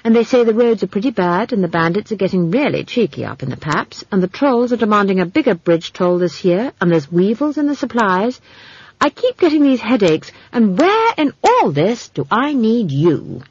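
Storybook caravan boss acted by a narrator: irritable man, curt, cold, lively pitch swings, dry close sound. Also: moderate pace, husky, dry recording, lo-fi sound. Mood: exasperated. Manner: cold, brusque, exasperated. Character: gruff, irritable, overburdened man leading a trade caravan.

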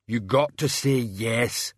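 A man voicing a simple-minded hulking henchman in a slow, rumbling, childlike way for an audiobook. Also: slightly rough, no echo, studio quality. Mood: slow, menacing. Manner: rumbling, drawn-out, childlike insistence. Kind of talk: audiobook character acting.

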